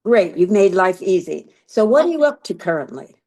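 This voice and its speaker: A woman's voice: on the low side, big pitch swings, normal speed, warm tone. A woman hosting an interview podcast, asking direct, prompting questions. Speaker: podcast host, psychotherapist.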